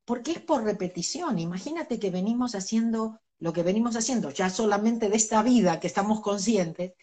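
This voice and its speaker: A woman's voice: mid-range voice, animated, brisk, warm tone. A middle-aged woman, a guest speaking earnestly in an interview and laughing between her points. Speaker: interview guest, spiritual teacher. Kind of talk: reflective conversational interview.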